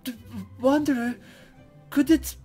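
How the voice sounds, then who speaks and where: Mystical young woman guide for a fantasy game, done by an amateur reader: high, playful, easily flustered, courtly wording. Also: fairly even intonation, unhurried, warm tone, faint music behind. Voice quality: put-on, wavering accent. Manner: stammering, formal, ornate phrasing.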